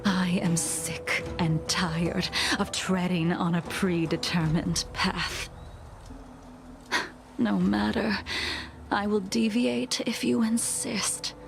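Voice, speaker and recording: A woman playing a weary, resolute, centuries-old swordmistress in a video game, solemn, measured and breathy, cold and wistful. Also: lowish voice. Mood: cold, defiant. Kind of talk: dramatic video game voice acting.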